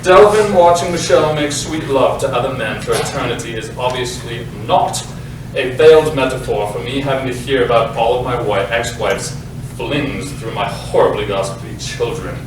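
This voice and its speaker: A man plays a self-important, defensive playwright in a stage comedy, addressing the audience grandly. His mid-pitched voice comes from far off in a very echoey space. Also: moderate pace, lightly acted. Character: pompous, defensive playwright presenting his lurid works. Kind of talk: comic theatrical monologue to audience. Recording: very echoey noisy hall, far off.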